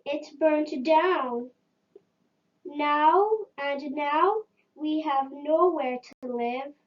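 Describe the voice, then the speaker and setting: A woman voicing a small, forlorn mother mouse in a squeaky storybook voice. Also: drawn-out words, distant mic, muffled recording.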